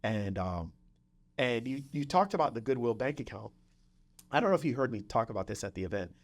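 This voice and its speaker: A male podcast host with a mid-pitched voice talks in a conversational, motivational way. He drives points home by repeating phrases and keeps checking in with short tags like "right?" and "okay?". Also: animated, brisk.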